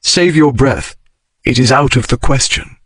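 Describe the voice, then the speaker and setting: A man voicing a wry, devoted aristocratic gentleman in an audiobook, with understated, formal speech. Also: medium pitch, unhurried, slightly rough. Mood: firm. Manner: dry, understated period speech. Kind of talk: audiobook character reading.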